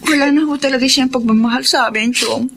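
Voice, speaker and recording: A woman's voice: sits high, fairly even intonation, quick pace, a little hiss or hum. A woman acting a protective, respectful young elder sister, earnest and emotional, in a radio drama. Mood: grieving, pained.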